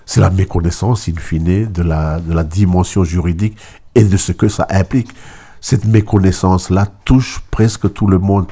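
A man's voice: sits low, steady tone, mellow, husky. A man interviewing, posing long formal questions with frequent hesitations and restarts. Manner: halting, restarts, formal phrasing.

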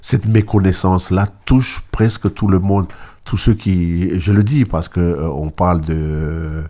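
A male interviewer with a very low, deep voice asks long, winding questions. His tone is dark and mellow. He often stops and restarts words as he builds his questions. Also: steady tone. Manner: halting, restarts words, many backchannel mhms.

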